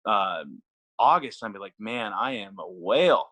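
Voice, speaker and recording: Bright-voiced man, chatty and self-mocking. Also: lowish voice, very animated, normal speed. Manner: self-deprecating, lots of restarts and ums. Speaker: throws coach. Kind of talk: casual interview chat.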